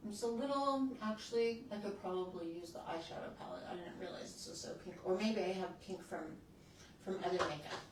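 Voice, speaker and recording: A woman's voice: medium pitch, fairly quick, heavy reverb, recorded from a distance, background noise. A woman in her sixties who hosts a beauty channel, chatting casually through a makeup tutorial and often restarting her sentences as she works. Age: 60s.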